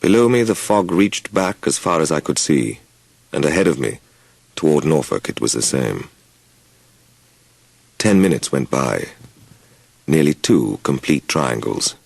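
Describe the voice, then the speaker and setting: Very deep male narrator, gravelly. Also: very animated, unhurried, long pauses, darkish tone, no echo. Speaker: audiobook narrator. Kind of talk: scripted dramatic first-person story narration.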